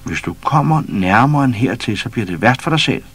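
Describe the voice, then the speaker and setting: A man voicing a bold, cheeky young troll boy who gives orders loudly in a storybook reading. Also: sits low.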